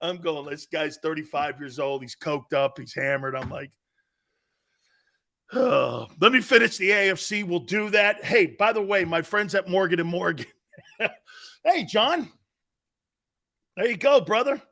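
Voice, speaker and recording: A man's voice: sits high, expressive, unhurried, vocal fry, long pauses, fairly bright tone. A middle-aged man hosting a sports talk show, telling stories with laughter and acting out other people's voices, then reading an ad. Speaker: host. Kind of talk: storytelling chat with ad read.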